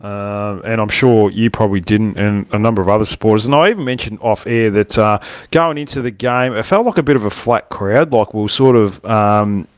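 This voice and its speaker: A man's voice: lowish voice, fairly quick, clear, resonant tone, no echo. An Australian man hosting a football podcast, introducing the episode and chatting with his co-host. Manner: upbeat host, structured intro. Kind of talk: casual podcast chat.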